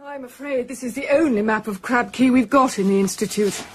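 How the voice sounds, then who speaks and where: An actress playing a polite, precise institute clerk in a radio drama, helpful and formal. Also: female voice, on the high side, a little fast, slight background noise, close to an everyday voice. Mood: polite, factual.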